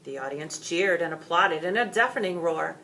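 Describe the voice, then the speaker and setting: A woman reading a story aloud as expressive scripted narration. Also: lowish voice, normal speed, poor audio. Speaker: storybook reader.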